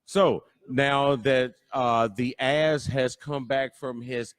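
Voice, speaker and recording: A man's voice: mid-range voice, steady tone, slow. A middle-aged man with a Midwestern American accent, co-hosting a live sports talk show in a bar, joking and rambling with lots of fillers. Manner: jokey, rambling, with many fillers. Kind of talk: casual live sports chat. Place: bar patio.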